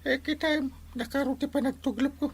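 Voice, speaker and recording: A woman playing an excitable, complaining village captain in a radio comedy drama, exclamatory and hesitating. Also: high voice, a little fast. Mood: grumbling.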